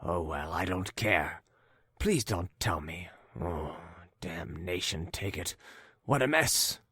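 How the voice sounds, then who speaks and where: A man voicing an agitated, impatient young man who speaks in a low, hissing whisper. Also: animated, slow pace, sharp tone, husky, no echo, studio quality, exaggerated voice. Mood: irritated.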